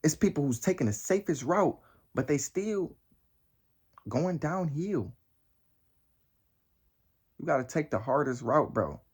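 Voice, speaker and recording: A nineteen-year-old man giving a motivational talk, emphatic and repetitive, with heavy slang and swearing, his voice climbing high and loud when he presses a point. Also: animated, a little slow, long pauses, husky. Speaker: motivational vlogger.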